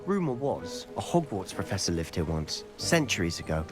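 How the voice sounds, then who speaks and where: A man's voice: low voice, big pitch swings, normal speed, music in the background. A young man playing an earnest, brooding teenage schoolboy wizard in a video game. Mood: thoughtful. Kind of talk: video game dialogue acting.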